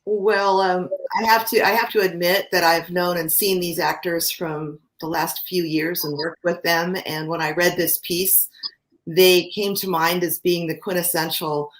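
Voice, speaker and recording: A woman's voice: fairly low pitch, steady tone, unhurried, rough recording. A woman theater director explaining things casually in a panel on a video call.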